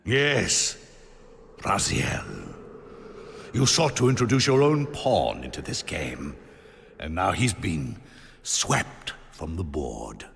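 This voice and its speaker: An actor playing a condescending old sorcerer in a video game cutscene, pompous, mocking and deliberate in his delivery. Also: sits low, big pitch swings, vocal fry, fairly bright tone, a little hiss or hum, heavily acted. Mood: smug taunting. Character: sly, condescending old male sorcerer, smugly taunting. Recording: long reverberant hall echo.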